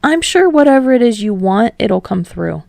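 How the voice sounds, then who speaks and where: A woman voicing a friendly, earnest young man in an audiobook story, speaking in a casual, gently coaxing way. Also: a little high, sing-song, normal speed, vocal fry, no room sound. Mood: encouraging.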